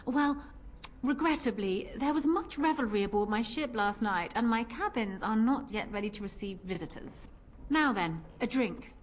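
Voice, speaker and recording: A woman's voice: on the high side, expressive, moderate pace, a little hiss or hum, natural voice. An actress voicing a confident, flirtatious female pirate captain and duelist in a video game, with a teasing, theatrical manner. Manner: teasing, self-assured, theatrical.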